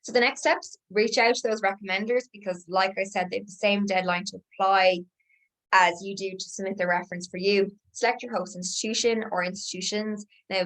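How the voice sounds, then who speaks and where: A woman, a senior awards manager, giving a brisk and informative webinar presentation. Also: mid-range voice, a bit bright, poor audio.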